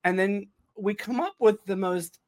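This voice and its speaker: A man's voice: high voice, a little slow. A man speaking casually and emphatically as a writer-producer hosting a podcast, often repeating words for emphasis and checking in with "right?". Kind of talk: casual behind-the-scenes podcast conversation.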